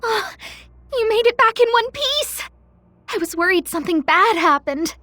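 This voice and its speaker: A woman voicing a small, youthful, caring goddess in an animated video game, perky and earnest. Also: very high voice, normal speed, exaggerated voice. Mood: relieved, reassuring.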